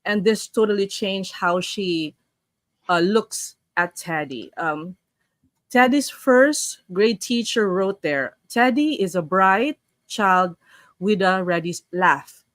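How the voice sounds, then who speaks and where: A woman's voice: medium pitch, lively intonation, takes it slowly, dry recording. A woman from the Philippines, hosting a casual online session and reading a story aloud with restarts. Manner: chatty, warm-up greetings, frequent restarts while reading. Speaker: host.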